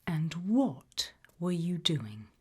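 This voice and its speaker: Female, British, low-pitched and breathy. Gentle, whispered ASMR interviewer, calm and reassuring. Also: very slow. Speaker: ASMR roleplay host playing an abduction investigator.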